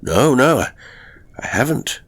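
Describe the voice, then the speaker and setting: A man voicing a self-conscious gentleman visitor in a storybook, politely chatty in a clipped, old-fashioned way. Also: mid-range voice, expressive, unhurried, breathy edge, pitched above the performer's own voice. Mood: awkward, polite.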